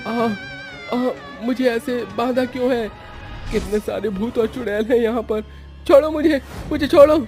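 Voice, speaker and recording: A man's voice: very high-pitched, unhurried, darkish tone, soft background music. A man voicing a frightened young groom who protests and is prone to stammering, in a horror-comedy cartoon. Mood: panicked. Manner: stammering when scared.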